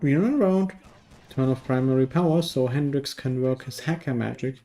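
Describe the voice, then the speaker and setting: A man's voice: medium pitch, normal speed, warm tone. A man narrating a scripted video game review in a dry, sardonic manner.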